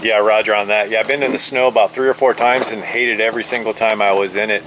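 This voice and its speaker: Low-voiced American man, casual radio chat. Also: steady tone, fast, very noisy.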